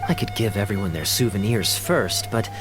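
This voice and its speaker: An actor voicing a suave, teasing young male knight in a fantasy video game, smooth and playfully eloquent. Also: on the low side, normal speed, natural voice. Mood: relaxed, musing. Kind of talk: polished video game character acting. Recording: game dialogue over background music.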